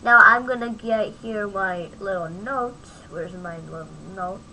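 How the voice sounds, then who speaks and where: A woman giving a casual, off-the-cuff software tutorial, thinking aloud as she demonstrates on a home microphone recording. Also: medium pitch, a little slow, slight background noise, low-quality recording. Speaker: tutorial host.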